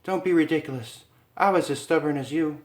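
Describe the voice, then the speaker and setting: A man's voice: a little high, a little fast, darkish tone, roomy sound, voice pushed up, lightly acted. A man voicing a frightened young woman trapped in a haunted house, breathless and pleading.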